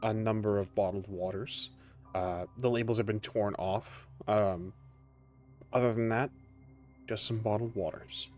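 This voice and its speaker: A man's voice: fairly low pitch, fairly even intonation, a little slow, darkish tone, faint music behind. A man acting as game master, narrating a tabletop roleplaying game with casual asides.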